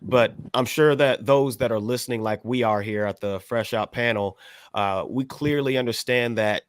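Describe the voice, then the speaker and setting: A man hosting a casual podcast interview, wordy and halting as he circles around his phrasing. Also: mid-range voice, no echo, studio quality. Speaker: podcast host interviewing a guest.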